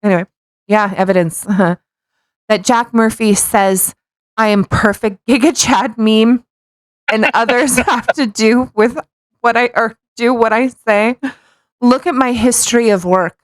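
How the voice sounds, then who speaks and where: A woman's voice: fairly high pitch, fairly even intonation, measured pace, no room sound. A woman co-hosting a podcast, reading aloud mockingly with playful, casual banter and a tendency to stumble over words. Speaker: podcast co-host.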